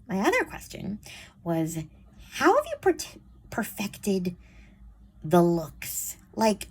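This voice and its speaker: Woman with a childish, lively voice. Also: fairly low pitch. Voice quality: childish-sounding voice. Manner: chatty, rambling, frank, with many fillers. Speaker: vlogger. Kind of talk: casual chat to camera.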